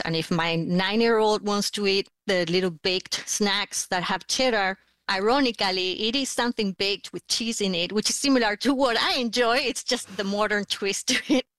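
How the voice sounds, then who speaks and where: A woman from Argentina, a mother, describing her favourite snack with gentle humour in an interview. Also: medium pitch, no room sound. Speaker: mother, listener contributor. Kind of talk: conversational interview answers.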